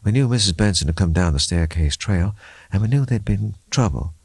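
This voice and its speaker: A man's voice: low-pitched, lively intonation, a little fast, gravelly, a little mellow, breathy edge, no echo, voice pushed up, full character voice. A man voicing a troubled, careful female officer. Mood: troubled, halting. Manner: careful, hesitant, groping for words. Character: troubled, gentle female officer delivering grim news. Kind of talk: audiobook character acting.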